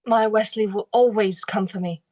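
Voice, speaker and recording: A woman's voice: medium pitch, fairly even intonation, normal speed, a little mellow, natural voice. A woman voicing an earnest, lovelorn young princess in an amateur table read, speaking in plain declarations. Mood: earnest resolve.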